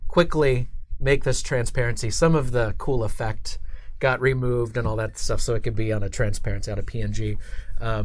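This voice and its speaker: A man casually hosting a livestream chat, enthusiastic and a bit halting as he talks. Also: medium pitch. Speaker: host of a company livestream show. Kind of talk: casual chat, interviewing a colleague.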